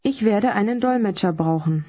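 A woman modelling phrases for learners in a language course, enunciating each one carefully and deliberately. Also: mid-range voice, expressive, slightly rough. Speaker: native speaker in language course. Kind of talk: slow model pronunciation. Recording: dull lo-fi recording.

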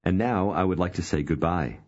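A man narrating a language course, giving clear, measured cues to repeat. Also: sits low, darkish tone. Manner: slow, clear prompting cues. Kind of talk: scripted instruction. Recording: dull lo-fi studio recording.

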